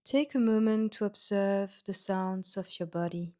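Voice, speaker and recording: A woman guiding a yoga nidra meditation in a gentle, soothing manner, leaving pauses between short instructions. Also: medium pitch. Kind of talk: guided meditation.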